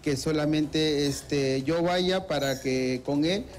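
A man's voice: on the high side, slow. A Peruvian man in his thirties, interviewed outdoors on a street into a handheld mic, speaking hesitantly with fillers. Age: 30s. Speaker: crime victim interviewee. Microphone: reporter's handheld mic.

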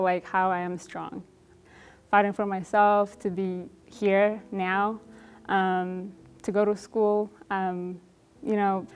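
A young woman from Ethiopia answers questions on a dark studio stage, hesitant and full of ums. Her voice stays quite flat and level in pitch. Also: clear, resonant tone. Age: young adult. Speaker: contestant guest. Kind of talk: interview answers.

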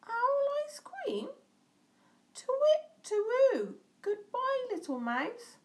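Casting: woman to play hooting storybook owl, coaxing but timid, very high-pitched, swooping widely. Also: wildly swooping pitch, very slow, full character voice. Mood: alarmed. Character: hungry, coaxing owl, easily frightened.

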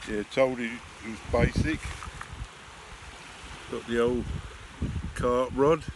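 Older man, 60s, unhurried self-deprecating outdoor vlog chat; mid-pitched voice, heavy wind noise on a phone mic. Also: fairly even intonation. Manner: wry, self-mocking, unhurried asides. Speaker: angler vlogger. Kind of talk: casual chat to camera. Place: outdoors by a sea wall on an estuary shore. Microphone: phone camera held by the speaker.

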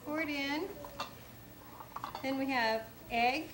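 High-voiced woman hosting a kids' cooking show. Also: takes it slowly, heavy background noise. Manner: patient, instructive, polite requests. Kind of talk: cooking demonstration for kids. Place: indoor studio kitchen set.

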